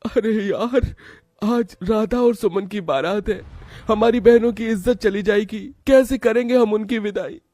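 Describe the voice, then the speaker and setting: A poor but protective young brother , acted by a man, speaks with earnest feeling in a cartoon tale. His voice is very high for a man and mellow, recorded close and dry. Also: a little slow, no echo. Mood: despairing. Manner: earnest, emotional.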